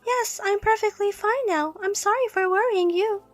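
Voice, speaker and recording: A woman's voice: very high voice, normal speed, dry recording, faint music behind, pitched above the performer's own voice. A woman voicing a cutesy, cloying, frivolous young daughter-in-law in an audio story, feigning innocence. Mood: falsely sweet. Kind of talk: audio story character acting.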